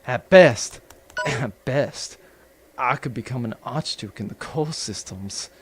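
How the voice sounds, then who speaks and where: An actor playing an arrogant, power-hungry villainous space baron in a comedic sci-fi audio drama, with a pompous, gloating manner. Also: male voice, mid-range voice, lively intonation, takes it slowly, a little hiss or hum. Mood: greedy, gloating.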